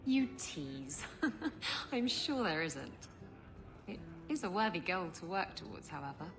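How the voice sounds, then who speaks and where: Teasing, flirty pirate captain. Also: female voice, on the low side, very animated. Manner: playful, teasing, drawn-out. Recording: game cutscene with music behind.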